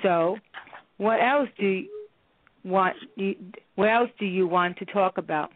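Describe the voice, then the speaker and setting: Woman doing a strict lady therapist, slow measured words, tinny phone-line radio sound. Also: medium pitch, no echo, close to an everyday voice. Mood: firm. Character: stern female counselor and therapist, adult. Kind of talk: amateur radio play reading.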